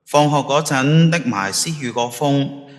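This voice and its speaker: A man's voice: mid-range voice, a little slow, close to an everyday voice. A man acting a blunt, grumbling teenage schoolboy and loyal best friend in a youth radio drama, with a Hong Kong accent. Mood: scheming.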